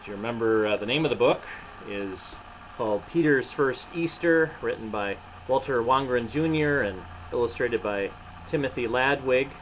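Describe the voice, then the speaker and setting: A man with a mid-pitched voice talks as a pastor to young listeners about a storybook. He proceeds haltingly, pausing as he goes. There is some light background noise. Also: low-quality recording. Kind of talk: children's storybook reading with short reflections.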